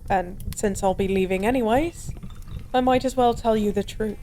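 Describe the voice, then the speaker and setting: A woman's voice: medium pitch, moderate pace, mellow, lightly acted. A woman playing a drunk, melancholy stranger who was once a god, confiding in a wistful, rambling, hesitant way in an intimate audio roleplay. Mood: resigned, confessional. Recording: clear background ambience noise. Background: bar ambience.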